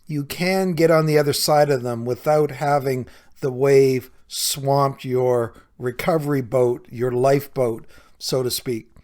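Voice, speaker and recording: A man's voice: mid-range voice, fairly even intonation, unhurried, darkish tone. A man hosting a podcast solo, talking conversationally and earnestly, explaining things directly to the listener as a coach would. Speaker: podcast host and recovery coach.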